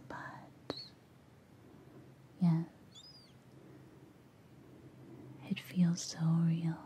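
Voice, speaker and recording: Mysterious seductive witch played by a woman, ASMR roleplay, close-miked, breathy, very dark mellow tone, lowish pitch, intimate. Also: slight background noise. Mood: soothing, intimate. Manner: slow, soothing, breathy, intimate. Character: mysterious seductive witch visiting a sleeper's dreams. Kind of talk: ASMR roleplay, soft whispering. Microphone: close-up ear-to-ear microphone.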